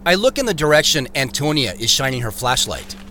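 Bright, animated mystery narrator. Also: male voice, medium pitch, soft background music. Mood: intent, suspenseful. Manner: measured, descriptive storytelling. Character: male first-person narrator of a mysterious night search. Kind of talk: scripted audio drama narration.

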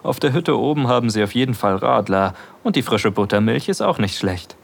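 A cheerful male lover out hiking in an audio drama. His mid-pitched voice is husky, and he talks in a flirty, teasing way. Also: expressive, fairly quick. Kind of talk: natural audio drama dialogue.

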